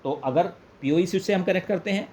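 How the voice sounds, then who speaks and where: A man's voice: high voice, fast, a little mellow. An Indian man presenting an informal product demonstration, explaining step by step, repeating points and mixing in English phrases. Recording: clear background noise, room ambience.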